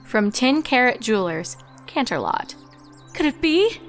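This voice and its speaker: Woman as a gentle, high-voiced regal pony princess. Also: very animated, a little slow, faint music behind. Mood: pleased. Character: kindly, composed regal female pony princess.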